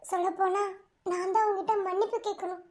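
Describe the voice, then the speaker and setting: A woman's voice: extremely high pitch, level tone, fairly quick, a little mellow. A woman playing a protective, remorseful young friend in a melodrama, earnest and reassuring as she urges. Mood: remorseful urging.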